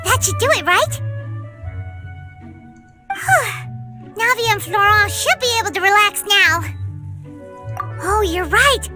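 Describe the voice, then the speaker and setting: Squeaky, childlike little fairy companion. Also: female voice, takes it slowly, long pauses, heavily acted. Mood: excited, relieved. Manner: excitable, refers to self by name. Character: small, excitable, childlike flying fairy companion girl. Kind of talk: video game voice acting. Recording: game music behind the voice.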